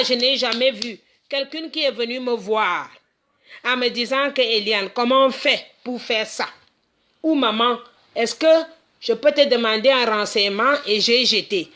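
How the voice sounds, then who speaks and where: Woman from cameroon, middle-aged, talks with lots of emphasis, keeps repeating herself, voice a bit on the high side. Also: fairly bright tone. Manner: emphatic, repeats words and phrases, many restarts. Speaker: community association founder. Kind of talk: impassioned monologue.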